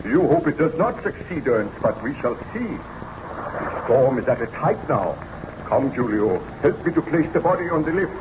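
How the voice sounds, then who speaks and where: Obsessed young scientist in an old radio play, grand and theatrical, voice swings up and down, noisy recording. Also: male voice, on the high side, big pitch swings, moderate pace, highs cut off. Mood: urgent, excited. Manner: theatrical, formal diction. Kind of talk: old-time radio drama acting.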